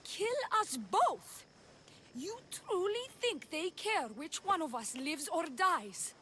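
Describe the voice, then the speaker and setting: A woman playing a proud, imperious high priestess of ancient Greece in a video game, with a formal, theatrical delivery. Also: sing-song, slow, bright, forceful tone, slight background noise, full character voice. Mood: desperate, commanding. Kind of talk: video game cutscene acting.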